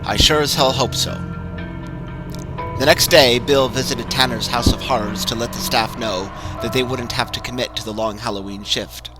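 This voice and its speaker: A man giving a measured, dramatic reading of a horror story. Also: medium pitch, steady tone, poor audio. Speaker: narrator. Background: music.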